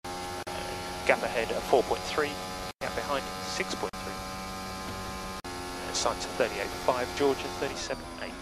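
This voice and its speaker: A man's voice: mid-range voice, measured pace. A man working as a race engineer, giving terse, procedural briefings full of numbers over team radio. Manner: clipped, procedural, relaying numbers. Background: vehicle noise and hum.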